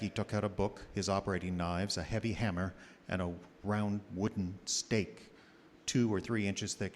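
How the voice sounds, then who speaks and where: An actor playing a somber, haunted, skeptical doctor, speaking deliberately in a gothic radio drama. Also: male voice, low-pitched, close to an everyday voice. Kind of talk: gothic radio drama acting and diary narration. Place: live theater stage. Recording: reverberant theater hall with noise.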